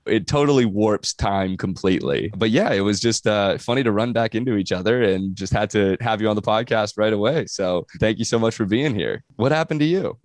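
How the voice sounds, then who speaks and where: Low-voiced friendly podcast host. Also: male voice, a little fast, hardly any pauses, no room sound, clean studio sound. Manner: friendly, laughs along, short agreeing replies. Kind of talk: casual podcast interview.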